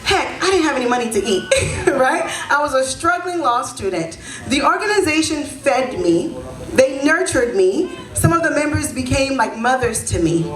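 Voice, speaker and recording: A woman in her thirties, born in Haiti and raised in Florida, hosts a community celebration. Her voice sits a little high and carries with a roomy echo through the hall. She talks to the audience in a warm, conversational way, often checking in with them as she goes. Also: expressive, normal speed. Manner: conversational, often adds "right?" to draw in the crowd. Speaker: event host and senior advisor. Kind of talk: speech at a community event. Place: event hall. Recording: echoey hall.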